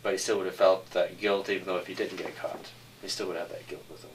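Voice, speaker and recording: A man's voice: sits low, fairly even intonation, quick pace. A man answering interview questions as a screenwriter, explaining his work. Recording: slightly distant mic with background noise.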